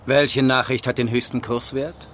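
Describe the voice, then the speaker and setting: An actor in a German radio drama playing a dry, literary novelist who narrates tersely as an observer. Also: male voice, mid-range voice, little pitch movement, normal speed, a bit bright, natural voice. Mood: dry, probing. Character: dry, literary male novelist turned film writer.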